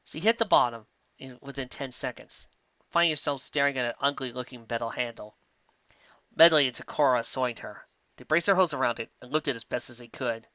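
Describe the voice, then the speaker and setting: A reader narrating a pony fanfiction story in an expressive audiobook style, with sudden jumps in pitch. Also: a little fast, no room sound, dull audio.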